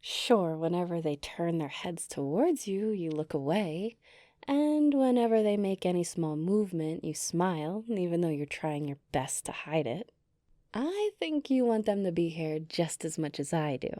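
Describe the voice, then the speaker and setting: A woman playing a kind, nurturing giantess with a gentle, coaxing, teasing manner in an ASMR roleplay. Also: lowish voice, expressive, unhurried, dry recording, clean studio sound.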